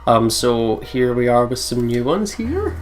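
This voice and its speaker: A woman's voice: rumbling low, big pitch swings, measured pace, a little mellow. A woman hosting a let's play, giving casual, chatty gaming commentary.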